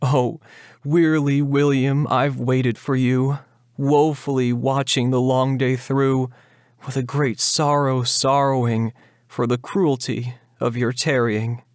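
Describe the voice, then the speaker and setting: A man's voice: mid-range voice, flat delivery, slow, no echo, clean studio sound, pitched above the performer's own voice, lightly acted. A man voicing a grieving young bride in a Gothic ballad, yearning, anxious and tender, with plaintive, pleading, archaic speech. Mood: weary, sorrowful. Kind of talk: dramatic Gothic ballad recitation.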